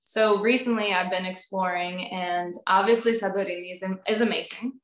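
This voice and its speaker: A young woman in her twenties, a singer, being interviewed over a video call from a room at home. She talks in a bubbly, animated way and laughs often. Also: mid-range voice, moderate pace. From United States, family from Crete. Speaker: guest, recording artist. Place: indoor room at home with instruments on the wall. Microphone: video call, webcam. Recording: roomy video call audio.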